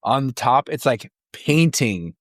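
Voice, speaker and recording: A man co-hosting a comedy podcast in excitable, casual banter, easily breaking into laughter as he talks. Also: medium pitch, slow, no room sound, clean studio sound. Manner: laughs readily, breaking up mid-sentence.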